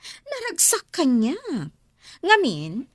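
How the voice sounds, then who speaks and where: An actress playing a pleading, jealous, sharp-tongued wife and mother in an Ilocano radio drama, with an Ilocano accent and a melodramatic delivery. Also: high voice, wildly swooping pitch, slow, dry recording, studio quality, exaggerated voice. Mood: defiant.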